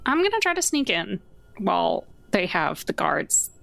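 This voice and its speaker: A woman's voice: fairly low pitch, very animated, normal speed, creaky, fairly bright tone. A woman bantering casually as a player in a tabletop game.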